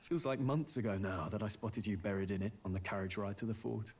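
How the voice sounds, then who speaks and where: A man's voice: lowish voice, brisk, husky. A man playing an earnest, brooding young nobleman in a fantasy video game, speaking in a formal, articulate way. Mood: musing. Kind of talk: video game dialogue acting. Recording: loud music behind the voice.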